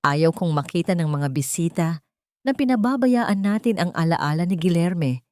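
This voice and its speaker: A woman voicing an imperious, cruel elderly rich matriarch in an audio drama, measured, commanding and menacing. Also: fairly low pitch, expressive, a little mellow, no room sound, studio quality, lightly acted. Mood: commanding, threatening.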